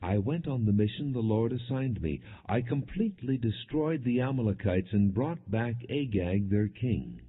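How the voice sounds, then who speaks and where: A man's voice: mid-range voice, animated, normal speed, hardly any pauses, husky, voice pushed up. A man voicing a defensive, pleading king in an earnest audiobook reading of scripture. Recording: muffled, phone-like narrow-band audio.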